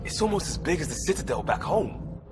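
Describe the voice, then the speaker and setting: Dry, easygoing spacefaring crewmate. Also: male voice, a little high, big pitch swings, fairly quick, over music. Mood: wry, impressed. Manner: casual, dry. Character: easygoing, wry male crewmate of a space explorer. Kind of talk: naturalistic video game acting.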